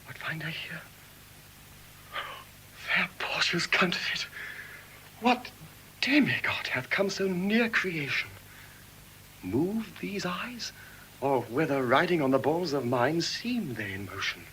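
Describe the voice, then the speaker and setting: An earnest young nobleman speaks Shakespearean verse in a polished RP English accent. His delivery is very slow and deliberate, with sweeping rises and falls in pitch. The vintage audio drama recording has a light hiss. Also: big pitch swings, pushed and bright, heavily acted, poor audio. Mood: rapt wonder. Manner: measured, theatrical, deliberate declamation. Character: young Venetian lord, earnest romantic suitor. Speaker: stage actor. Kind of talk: classical Shakespearean verse acting.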